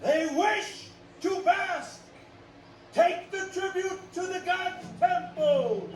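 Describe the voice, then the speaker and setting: An actor playing a commanding, frantic man giving orders in an animated film. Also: very high voice, slow, echoey room, far from the mic, faint music behind. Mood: loud command.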